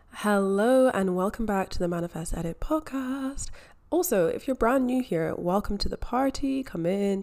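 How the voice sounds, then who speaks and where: A young Scottish woman hosting her own podcast, talking in a chatty, candid way with self-deprecating asides. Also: medium pitch. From Scotland. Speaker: podcast host. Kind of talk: casual solo podcast monologue.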